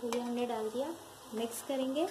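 Calm woman explaining a recipe step by step. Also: on the high side, brisk. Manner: calm, instructive, addresses viewers directly. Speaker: cooking channel host. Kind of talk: step-by-step cooking tutorial voice-over. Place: home kitchen. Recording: noisy home recording with background ambience.

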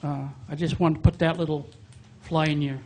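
A middle-aged man stands up for public comment in a town meeting room, holding a handheld microphone. His voice sits a little high for a man, and he lays out his complaints bluntly. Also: flat delivery, normal speed, darkish tone, slight background noise.

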